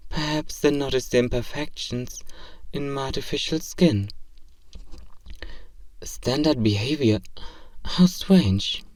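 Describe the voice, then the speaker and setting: Man acting as a polite alien robot, slow measured delivery, stilted literal phrasing, naive and curious, audio roleplay, roomy distant sound. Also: mid-range voice, darkish tone, natural voice. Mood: curious, formal. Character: polite, curious alien robot in artificial human skin, naive about romance. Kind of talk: scripted roleplay audio drama. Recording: roomy, slightly distant microphone.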